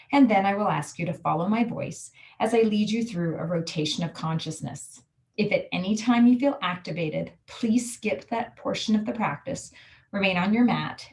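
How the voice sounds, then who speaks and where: A woman guiding a relaxation meditation, giving calm, soothing, measured instructions. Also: fairly low pitch, rough recording. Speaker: yoga nidra guide.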